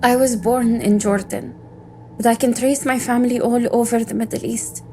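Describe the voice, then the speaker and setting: A woman's voice: a little high, steady tone, moderate pace, warm tone, slight background noise, close to an everyday voice. A woman playing an embittered, defiant agent in an audio drama, with a world-weary, intense, sardonic delivery. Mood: weary, grieving. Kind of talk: scripted audio drama monologue and dialogue.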